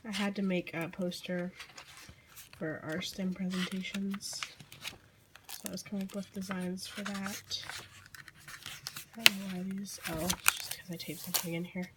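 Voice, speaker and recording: A teenage girl with a hoarse, croaky voice from being sick, casually and apologetically flipping through her sketchbook on camera. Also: lowish voice, fairly even intonation, normal speed, long pauses, heavy background noise. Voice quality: croaky, losing voice. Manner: apologetic, rambling with fillers and small laughs. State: hoarse from illness. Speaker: vlogger. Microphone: handheld camera mic.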